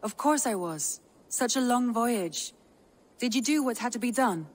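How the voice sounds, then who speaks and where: A woman's voice: on the high side, expressive, a little slow. A composed Viking woman. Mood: concerned relief. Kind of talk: game cutscene dialogue.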